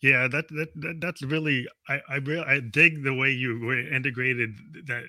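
A man's voice: medium pitch, fairly even intonation, moderate pace, sharp tone, reverberant. A man in his fifties hosting an interview, rambling casually with many restarts. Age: 50s. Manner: rambling, restarts often, laughs easily. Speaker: radio show host.

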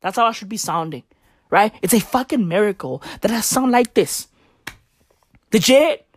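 Woman from southern Africa, Tswana native speaker, comic rant, profane slang, lively swooping pitch, dry close sound. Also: moderate pace, no room sound. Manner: profane, rambling, animated slang-heavy delivery. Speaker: comedian. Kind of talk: stand-up style comedic rant.

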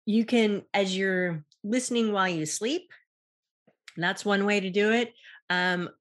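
A woman's voice: mid-range voice, unhurried. An American woman speaking as a hypnotherapist and podcast guest, explaining things casually and acting out short quotes. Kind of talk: casual explanatory interview talk.

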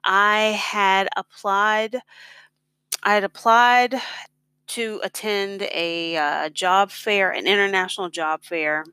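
A woman's voice: mid-range voice, fairly even intonation, slow pace, pushed and bright, smooth, no room sound. A middle-aged American woman telling her own story in a casual, reflective, conversational way. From United States. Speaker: blogger on expat life and personal finance.

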